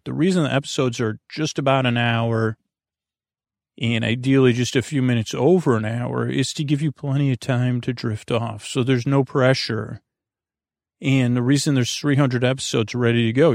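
A man hosting a sleep podcast in a meandering, halting monologue, often stammering and trailing off, with a creaky, droning voice recorded in a small closet. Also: medium pitch, a little mellow, no echo, studio quality. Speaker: podcast host. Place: small closet under the stairs.

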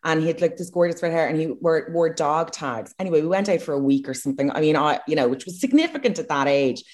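A woman's voice: sits low, expressive, fast talker, a little mellow. A woman with an Irish accent, co-hosting a casual comedic chat, frank and self-mocking. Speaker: podcast co-host.

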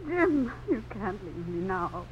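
A woman's voice: medium pitch, sing-song, slow. A woman playing a proud, sorrowful young belle in melodramatic 1950s American radio drama. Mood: sorrowful, pleading. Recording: very noisy muffled old radio broadcast.

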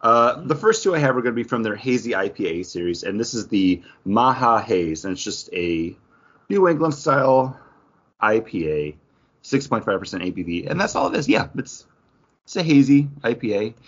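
A man's voice: medium pitch, sing-song, normal speed, husky. A man co-hosting a podcast, talking in a casual, conversational style. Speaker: podcast co-host. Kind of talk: casual chat. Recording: dull lo-fi recording.

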